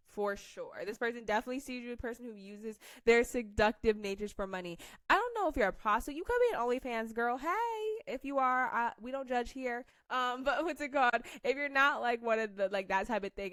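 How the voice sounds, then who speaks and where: A woman's voice: a little high, no echo. A young American woman giving a casual tarot reading, rambling and correcting herself as she reads card meanings aloud. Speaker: tarot reader.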